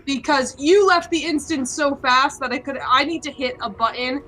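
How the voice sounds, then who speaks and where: High-voiced woman ranting over a game. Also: moderate pace, sharp tone. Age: 20s. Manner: opinionated, ranting, swears freely. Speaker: gaming channel host. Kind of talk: casual chat while playing. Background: game music.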